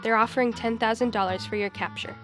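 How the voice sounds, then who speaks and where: A woman's voice: a little high, fairly even intonation, fast talker, over music, close to an everyday voice. A female student reader playing a cautious helper of runaway slaves in a school radio drama. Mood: concerned.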